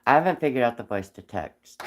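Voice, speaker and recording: A man with a cold and a raspy voice, chatting casually on a live stream while whittling wood, rambling with frequent ums. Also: mid-range voice, animated, brisk, a little hiss or hum. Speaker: livestream host whittling wood.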